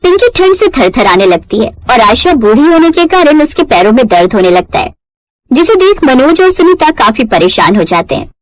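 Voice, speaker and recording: A woman's voice: high-pitched, big pitch swings, normal speed, dry recording. A woman narrating a scripted moral story in a dramatic storytelling style.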